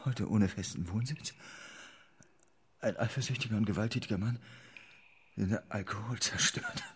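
A man's voice: on the low side, normal speed, background noise, natural voice. An actor in a German radio drama playing a despairing homeless man who grieves for his son. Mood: tense reading. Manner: emotional, wailing when distressed.

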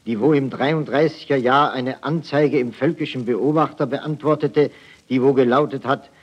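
A man's voice: mid-range voice, fairly even intonation, normal speed, slightly rough, highs cut off, close to an everyday voice. An actor playing a hapless, naive army private telling his woes in a folksy way on an archival 1940s satirical radio broadcast. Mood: mock-naive, rueful. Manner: folksy, mock-naive storytelling.

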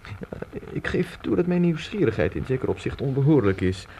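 An actor in a radio play voicing a worldly, inquisitive novelist with a formal, wordy and measured manner. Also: male voice, medium pitch, big pitch swings, mellow. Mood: courteous, intrigued. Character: worldly, inquisitive male novelist, courteous and insistent. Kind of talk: radio drama acting. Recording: noisy radio drama recording.

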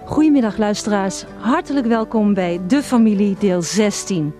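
An actress playing a schoolmarmish presenter, polite but bossy, narrating a satirical radio play. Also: female voice, medium pitch, expressive, moderate pace, mellow, soft background music, close to an everyday voice. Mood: courteous. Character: brisk, schoolmarmish female presenter, easily exasperated.

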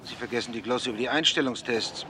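An actor playing a wary, sardonic journalist in a German radio play, with a guarded, dry delivery. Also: male voice, mid-range voice, fast talker, background noise, lightly acted. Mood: wary, defensive.

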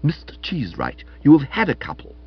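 A man's voice: mid-range voice, wildly swooping pitch, a little fast, breathy edge, voice pushed up, full character voice. Casting: imperious young modern woman done by a male reader, snappish and stiff, very swoopy intonation, slightly dull sound. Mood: haughty, indignant. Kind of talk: comic audiobook character acting.

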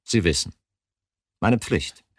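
An actor in a radio drama playing an insistent police chief who questions a suspect with dry, probing politeness and some exasperation. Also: male voice, low-pitched, expressive, takes it slowly, dry recording, exaggerated voice.

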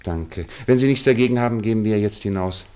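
An actor playing a shrewd, wry male detective in a German radio play, speaking in a theatrical, deliberate and authoritative way. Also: lowish voice, a little mellow, slight background noise, lightly acted. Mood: commanding.

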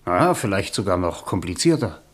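A man's voice: sits low, sing-song, moderate pace. A man playing a proud, weary older novelist in a radio drama, with a formal, cultivated way of speaking. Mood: measured objection. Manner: formal, eloquent, reserved.

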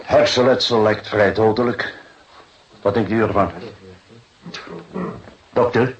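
A seasoned police detective in a radio play, low in pitch. He questions thoughtfully and deliberately, sometimes repeating himself as he searches for words. The recording is dull and muffled. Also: fairly even intonation. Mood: grave, probing. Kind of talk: Dutch radio drama acting.

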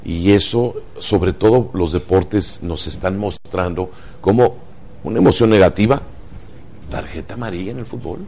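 A man's voice: low voice, moderate pace, dark tone, slight background noise. A man with a Mexican accent giving motivational talk show commentary, emphatic and fond of rhetorical questions.

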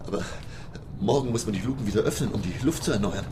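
An actor as anxious, fast-talking professor. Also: male voice, lowish voice, noisy background, close to an everyday voice. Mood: anxious, urgent. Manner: agitated, formal, insistent. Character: anxious, urgent male professor aboard a submarine. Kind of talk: radio drama acting. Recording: echoey room with music behind.

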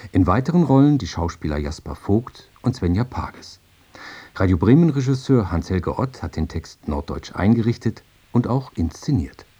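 A man hosting a radio programme, giving a scripted introduction in a measured, formal manner. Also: on the low side, mellow, slightly rough. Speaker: radio host, announcer.

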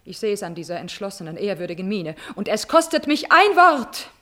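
An actress playing a bitter, mocking, vengeful countess in a classical radio tragedy, theatrical and emphatic, with biting irony. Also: female voice, medium pitch, sing-song, a little fast, sharp tone. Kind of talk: classical German stage tragedy as radio drama.

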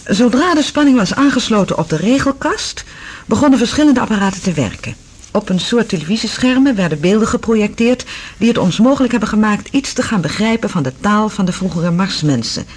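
Husky, curious woman explorer. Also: mid-range voice, expressive, fairly quick, darkish tone. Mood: reading, attentive. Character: curious female Mars explorer, affectionate partner of a scientist. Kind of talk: Dutch radio drama acting.

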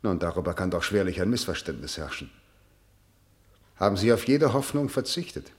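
Senior prosecutor, radio play: low voice, lively intonation, stiff, formal and guarded. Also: male voice. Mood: stiff, official. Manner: formal, measured, official. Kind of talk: radio drama dialogue.

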